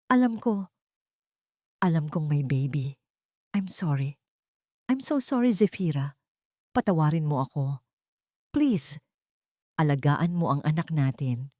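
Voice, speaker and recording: A woman narrator plays a gravely hurt man in a melodramatic audio story. He speaks weakly and haltingly, tender and full of remorse, in a close, dry sound. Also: sits low, expressive, a little mellow, dry recording. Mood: remorseful, pleading. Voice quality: weak, halting, murmuring. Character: badly injured remorseful man, pleading tenderly, weakened.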